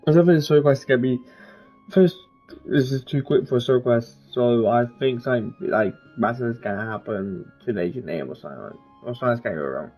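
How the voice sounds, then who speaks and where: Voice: mid-range voice, animated, fast talker, lo-fi sound, soft background music. A game streamer reading dialogue aloud and commenting casually during a playthrough.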